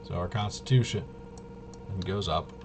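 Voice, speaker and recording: A man hosting a tabletop game walkthrough, thinking aloud in a casual, halting way and muttering to himself. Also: low voice. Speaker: host of a tabletop RPG video. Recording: noisy room, clearly audible background noise.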